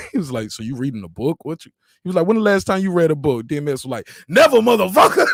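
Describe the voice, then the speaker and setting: A man's voice: fairly high pitch, sing-song, brisk, gravelly, no room sound, studio quality. A young man on a panel, chatting casually and telling stories with animation. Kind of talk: casual chat, animated storytelling.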